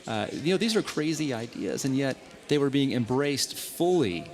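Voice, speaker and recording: A man from Texas, a guest on a radio show, explaining his points in a measured, articulate way. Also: medium pitch, animated, a little mellow, soft background music. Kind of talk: interview answers.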